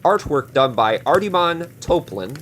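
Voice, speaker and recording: A man's voice: a little high, big pitch swings, measured pace, a bit bright, a little hiss or hum. A man presenting a casual video introduction in a chatty, opinionated way.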